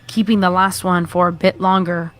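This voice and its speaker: A woman's voice: on the low side, level tone, normal speed, a bit bright. A woman narrator reading a scripted horror story.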